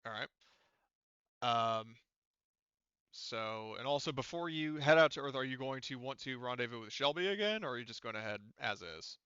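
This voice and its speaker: A man acting as game master, talking players through the game in a loose, rambling way. His voice is bright and smooth, recorded dry and a little dull. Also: medium pitch, expressive, normal speed, no echo. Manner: rambling, conversational explaining. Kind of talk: casual narrating of a tabletop game.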